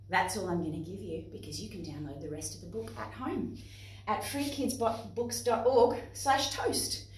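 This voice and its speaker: A woman with an Australian accent presenting to young children and parents in an expressive, instructive way. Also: fairly low pitch, slight background noise. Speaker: playgroup facilitator and presenter. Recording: echoey room, distant microphone.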